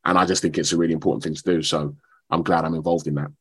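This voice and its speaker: Bloke with a really deep voice, modest actor chatting on radio, kinda halting. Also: male voice, little pitch movement, husky. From London, England. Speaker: actor, radio show guest. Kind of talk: conversational radio interview.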